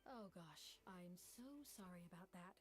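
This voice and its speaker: A woman's voice: lowish voice, unhurried, close to an everyday voice. An actress playing an earnest, apologetic young woman adventurer in an anime-style game. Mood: sheepish, apologetic.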